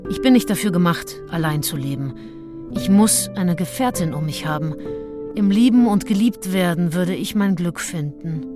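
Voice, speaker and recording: An actress playing a passionate, lovelorn early nineteenth-century gentlewoman diarist in a radio play, speaking as if in intimate confession. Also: on the low side, animated, normal speed, over music, natural voice. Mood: yearning, insistent. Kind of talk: German radio play monologue.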